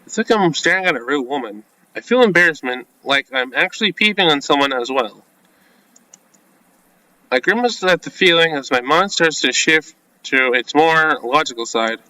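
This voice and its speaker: A man's voice: a little high, lively intonation, normal speed, bright, forceful tone, poor audio. A man reading a visual novel game script aloud, mixing in informal asides and casual commentary as a let's-player. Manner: informal, reacts with asides between read lines.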